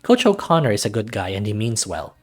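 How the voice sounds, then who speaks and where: A man hosting a let's-read of a visual novel, reading aloud and chatting casually in a soft-hearted, gushing way. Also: on the low side, sing-song, brisk, faint music behind.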